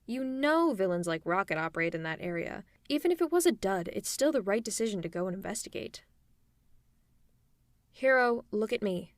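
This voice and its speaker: A woman's voice: mid-range voice, dry recording, lightly acted. A woman playing a sly, sardonic supervillain with a caring streak in a roleplay audio drama, speaking in a teasing, conversational way. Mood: exasperated concern.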